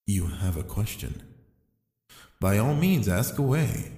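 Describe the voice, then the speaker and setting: A man playing a calm, sly, seductive fallen angel in an intimate ASMR roleplay, speaking slowly and deliberately to the listener. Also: sits low. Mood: seductive, coaxing. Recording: echoey, long reverb.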